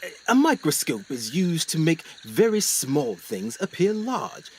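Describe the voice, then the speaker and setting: A man acting in a radio play as an earnest, excitable explorer doctor, speaking formally with dramatic pauses. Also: fairly high pitch, a little hiss or hum. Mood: eager, courteous.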